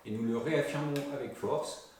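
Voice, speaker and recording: A man's voice: medium pitch, moderate pace, darkish tone. A man delivering a prepared political campaign statement as a local candidate, formal and assertive. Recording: echoey room, distant mic, faint noise.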